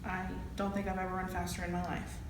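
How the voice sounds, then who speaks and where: A woman's voice: fairly low pitch, monotone, fast talker, natural voice. A woman acting a shaken, plain-spoken campus safety officer testifying in an amateur courtroom drama. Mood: earnest. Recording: echoey, distant room with clear noise.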